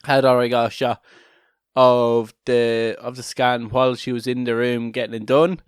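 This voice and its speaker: A man's voice: medium pitch, level tone, normal speed, clear, resonant tone, no echo, studio quality. A man co-hosting a casual comedic podcast, talking in a rambling, irreverent way with many restarted sentences. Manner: joking, irreverent. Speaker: podcast co-host.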